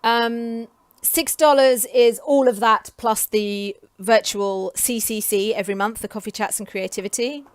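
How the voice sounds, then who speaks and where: A woman in her forties from England talks casually to camera, chatty and enthusiastic with frequent ums, her voice getting hoarse. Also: a little high, steady tone, a little slow, no room sound. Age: 40s. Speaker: creative coach and vlogger.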